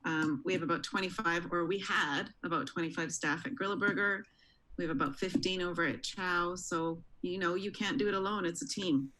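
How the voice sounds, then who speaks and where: A woman's voice: fairly low pitch, steady tone, fairly quick, noisy background. A woman from Tanzania, raised in Canada, a restaurant owner telling her story in a friendly, conversational interview. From born in Tanzania, raised in Canada. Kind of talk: casual interview chat.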